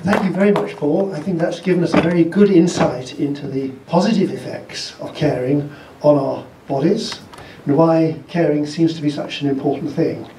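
A man's voice: a little high, moderate pace, far from the mic, noisy background. A man chairing a lecture and inviting audience questions, gracious and encouraging. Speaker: event host and chair. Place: large lecture theatre. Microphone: handheld mic. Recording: roomy hall recorded from afar.

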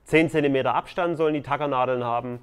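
Man, mid-pitched voice, casual explainer, conversational builder vlog. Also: fast talker, smooth. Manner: explaining step by step, loose and conversational. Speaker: tiny house builder.

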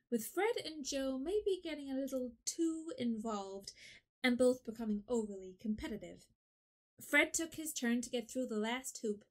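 A woman narrating an audio drama in a clear, measured storytelling manner. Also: high voice. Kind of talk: scripted narration.

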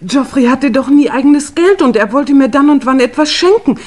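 An actress playing a timid, devoted young housemaid in a 1950s radio mystery, deferential, pleading and emotional. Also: female voice, high-pitched, expressive, moderate pace, darkish tone.

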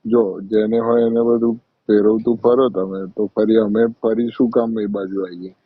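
Man acting cornered village lover, Gujarati accent, low husky voice, defensive, terse, repetitive, evasive, phone drama. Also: level tone, normal speed, dry recording. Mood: defensive denying. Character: evasive unmarried village lover denying fatherhood, placating. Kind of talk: staged phone-call drama in colloquial speech. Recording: muffled lo-fi phone call recording.

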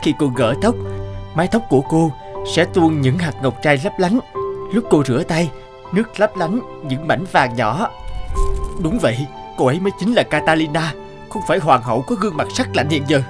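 A man's voice: fairly high pitch, lively intonation, normal speed, over music, natural voice. A man voicing an earnest, impatient young king in a cartoon fairy tale, urgent and commanding. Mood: amazed, urgent.

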